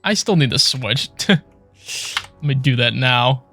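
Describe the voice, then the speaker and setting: A man's voice: mid-range voice, lively intonation, unhurried, sharp tone. A man casually commentating as he streams a video game live. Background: video game music.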